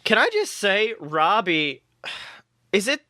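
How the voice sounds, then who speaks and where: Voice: mid-range voice, big pitch swings, slow pace, pushed and bright, no echo, studio quality. A podcast co-host chatting casually and analytically about a cartoon, with some swearing.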